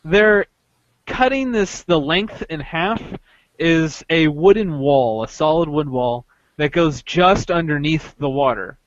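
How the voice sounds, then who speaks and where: A man with a fairly high-set voice chats casually as a podcast host. He tells his story haltingly, doubling back on himself. The sound is very dry and a little muffled. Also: expressive, dry recording. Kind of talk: casual chat, recounting an experience.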